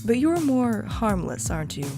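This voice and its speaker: A woman's voice: a little high, sing-song, moderate pace, over music. A woman playing a cocky, flirtatious, cool bully of a coworker in an audio drama, smug, teasing and drawling.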